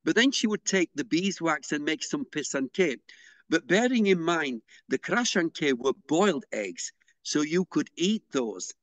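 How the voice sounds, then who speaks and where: Man, slightly higher voice, dry sound, hesitant, video call interview. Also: sing-song, unhurried, no echo. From England. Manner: hesitant, with fillers and restarts. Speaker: pysanky artist and interviewee. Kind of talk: unhurried explanatory interview talk.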